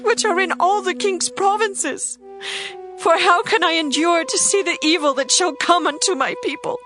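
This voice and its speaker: Actress as a young pleading queen, high voice, earnest plea over soft music. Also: lightly acted. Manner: earnest, rising urgency as she pleads. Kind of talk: dramatized scripture reading.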